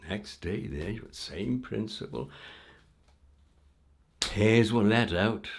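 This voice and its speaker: A man telling a folk tale aloud in an informal, theatrical way with dramatic pauses. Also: lowish voice, gravelly, slightly rough. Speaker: storyteller. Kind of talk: animated oral folk-tale storytelling.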